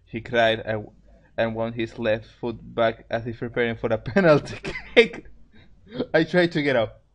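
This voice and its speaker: A man's voice: mid-range voice, steady tone, moderate pace. A man co-hosting a story show, reading fan fiction aloud in casual amateur narration and stumbling over words.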